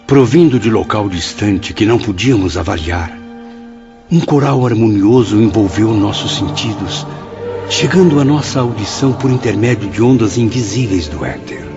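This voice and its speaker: A Brazilian man giving calm, literary first-person narration as a character in a radio drama. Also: lowish voice, normal speed. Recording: muffled, loud music behind.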